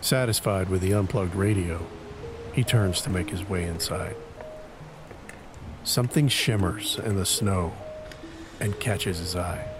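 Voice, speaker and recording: Deep, slow male narrator over music. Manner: deliberate, brooding, pausing between phrases. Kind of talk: dramatic scripted audio drama narration.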